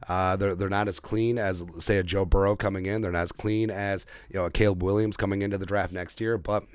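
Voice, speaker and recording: A man's voice: low voice, steady tone, a little fast, clear, resonant tone, no room sound. A man hosting a sports podcast, giving off-the-cuff, opinionated football commentary and repeating phrases for emphasis.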